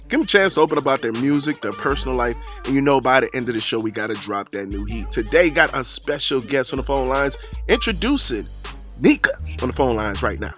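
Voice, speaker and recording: A man's voice: mid-range voice, lively intonation, brisk, a bit bright, faint music behind. Hype slang-talking male radio host. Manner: hype, laid-back slang with frequent fillers. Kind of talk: hip-hop radio interview.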